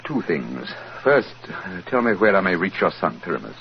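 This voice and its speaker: An actor playing a famous British detective in 1940s radio drama, commanding and intense, on a dull, noisy old recording. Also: male voice, low voice, sing-song, normal speed, gravelly, heavily acted. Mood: grave, subdued.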